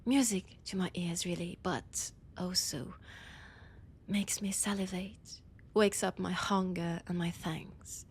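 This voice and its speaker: A woman with a French accent playing an annoyed, condescending vampire scholar in a roleplay audio drama, often dropping into breathy whispers. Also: on the low side, steady tone, takes it slowly, slight background noise. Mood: hungry, menacing. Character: annoyed, haughty female vampire scholar, ancient and hungry.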